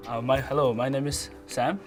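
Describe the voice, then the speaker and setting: A man's voice: medium pitch, steady tone. Nepali teen guy, english not his first language, lots of restarts, music in the back. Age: teens. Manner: halting, restarts often, plain simple English. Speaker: student showing his community. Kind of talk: casual documentary talk.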